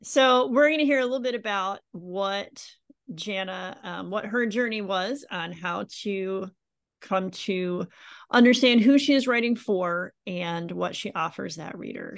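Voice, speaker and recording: A woman's voice: medium pitch, creaky. A woman hosting a podcast interview and coaching writers, friendly and enthusiastic. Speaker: podcast host and writing coach. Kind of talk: interview conversation.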